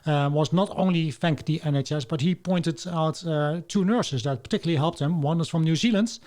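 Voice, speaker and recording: A man with a slightly raised pitch, talking in an easygoing, chatty way as he hosts an interview. He leans on news articles and fills his pauses with frequent "uh"s. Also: darkish tone. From Netherlands. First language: Dutch. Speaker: podcast host. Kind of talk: interview conversation.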